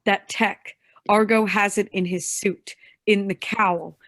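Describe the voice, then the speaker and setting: A woman's voice: mid-range voice, steady tone, takes it slowly, a bit bright, dry recording. A woman playing a blunt, exasperated young vigilante who knows her tech, in a staged play reading. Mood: urgent. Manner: blunt, insistent.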